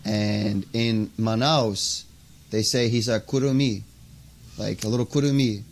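American man talking haltingly on a video call. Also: lowish voice, steady tone. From United States. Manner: casual, halting, lots of "you know" and "um". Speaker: podcast guest. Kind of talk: interview conversation. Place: indoors by a window, video call. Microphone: earphone mic on a video call.

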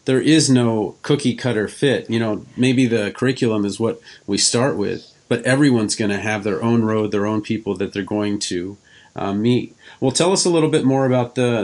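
A man speaks as the host of an interview podcast. His voice sits at a medium pitch, and he talks in a conversational way as he puts questions to a guest.

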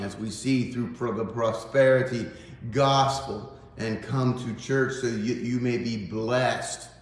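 A man in his fifties with a Southern accent, a pastor giving an informal, folksy morning devotional to a phone camera in a small church sanctuary. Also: medium pitch. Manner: folksy, conversational, animated. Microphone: phone camera on a tripod, close up. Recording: very echoey church room.

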